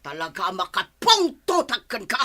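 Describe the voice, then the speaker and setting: Woman acting a haughty, sharp-voiced Ilocano mistress. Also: medium pitch, wildly swooping pitch, normal speed. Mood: furious. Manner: sharp, scolding, imperious. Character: haughty, short-tempered rich lady of the house. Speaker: actress in an Ilocano radio comedy drama. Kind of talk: comedy radio drama acting.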